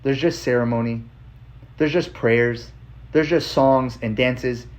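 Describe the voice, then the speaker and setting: A man's voice: mid-range voice, slow. An American man telling his own life story casually, with a blunt, emphatic manner and short repeated phrases.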